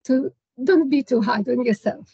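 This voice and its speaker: A woman's voice: high voice, measured pace, gravelly, dark tone, slightly rough, no room sound, dull audio. A woman career advisor answering questions in an online seminar, encouraging and practical. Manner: encouraging, gives advice with light laughs. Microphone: video call.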